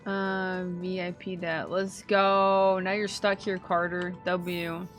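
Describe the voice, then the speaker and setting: A woman's voice: lowish voice, level tone, slow pace. A woman live-streaming a game, chatting casually with viewers in playful, slangy, profane banter. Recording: game music behind the voice. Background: video game music.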